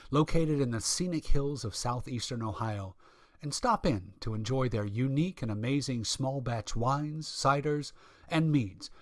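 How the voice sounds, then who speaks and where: A man's voice: mid-range voice, no echo, studio quality. A man working as an announcer, reading scripted sponsor copy for a radio broadcast.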